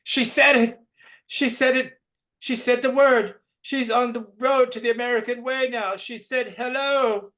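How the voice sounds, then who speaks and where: A man's voice: on the high side, expressive, takes it slowly, voice pushed up. A man voicing a pompous, condescending woman schoolteacher in an exaggerated, over-the-top sing-song manner. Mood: triumphant.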